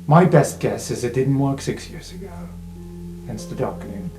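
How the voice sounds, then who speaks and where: Man playing a mellow, knowing fantasy informant. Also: mid-range voice, animated, natural voice. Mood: explanatory, wry. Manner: measured, explanatory, theatrical pauses. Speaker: game master. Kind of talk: improvised tabletop roleplay podcast. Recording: roomy sound with guitar music underneath.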